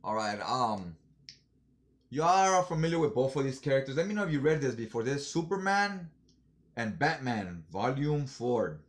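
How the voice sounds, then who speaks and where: A man's voice: medium pitch, sing-song, moderate pace. Guy just chatting to viewers like a fan, slangy casual review vlog, regular male voice. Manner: slangy, informal fan talk. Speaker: comic book haul reviewer.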